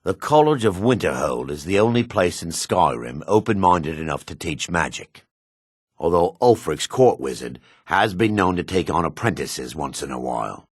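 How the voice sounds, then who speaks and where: Husky male dark elf, widely swinging pitch. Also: big pitch swings, normal speed, no room sound, clean studio sound, exaggerated voice. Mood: weary, grumbling. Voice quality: raspy, husky. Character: male dark elf townsman, dry, gruff, changeable. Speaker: video game voice actor. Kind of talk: fantasy video game character lines.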